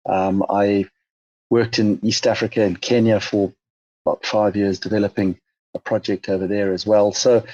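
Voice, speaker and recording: A man with a Zimbabwean accent talks through a business interview in a low, husky voice. He sounds measured and pauses often on fillers. The recording is dull and dry. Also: a little mellow, no room sound. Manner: measured, many fillers and restarts. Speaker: mining company chief executive.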